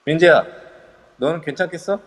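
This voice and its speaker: A man playing a young male group leader who gives brisk orders in an amateur audio drama. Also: a little high, natural voice. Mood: lively. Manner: animated, commanding. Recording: reverb-heavy online audio.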